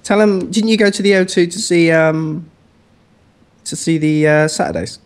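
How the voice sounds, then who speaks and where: A man's voice: a little high, moderate pace. A man with an English accent co-hosting radio with casual, dryly sarcastic banter. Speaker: radio co-host.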